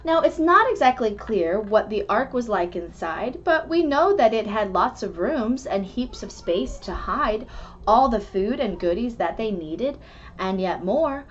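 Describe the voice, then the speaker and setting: A woman reading a rhyming children's book aloud in an expressive, measured storytelling manner. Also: a little high, soft background music. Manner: animated, measured storytelling delivery. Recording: dull lo-fi recording with background noise.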